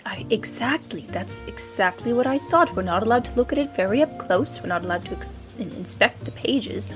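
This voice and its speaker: A woman's voice: fairly high pitch, animated, fairly quick, music in the background, natural voice. A woman voicing a polite, nervous, chatty male scholar of arcane languages, stammering eagerly in a tabletop game. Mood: quietly sceptical.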